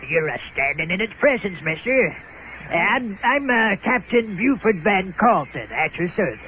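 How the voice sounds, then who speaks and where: A seedy, peg-legged scow captain for a comic radio play. His high voice swoops around as he hems and haws in folksy, sheepish fashion. The sound is dull and lo-fi. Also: big pitch swings, measured pace, a little hiss or hum. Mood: eager, flustered. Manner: stammering, hemming and hawing, folksy. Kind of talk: comic audio drama acting in old-time radio manner.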